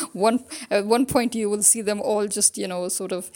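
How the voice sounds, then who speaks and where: A woman's voice: medium pitch, a little slow, darkish tone. A young woman with a mixed British, American and Pakistani English accent, talking casually and rambling with many fillers as an author vlogging. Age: young adult. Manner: rambling, frequent fillers, self-correcting. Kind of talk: casual spoken monologue.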